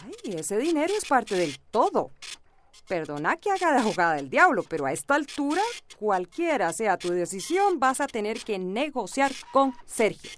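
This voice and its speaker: A pragmatic, reassuring woman lawyer in a Latin American radio soap. Her voice is a bit high and moves expressively. Faint noise sits behind it. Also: sing-song, moderate pace. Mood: firm, advising. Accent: Latin American Spanish. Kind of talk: radio soap opera acting.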